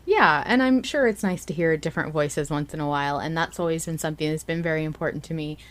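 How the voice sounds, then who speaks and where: A woman's voice: low-pitched, fast. A woman chatting casually as the host of a podcast.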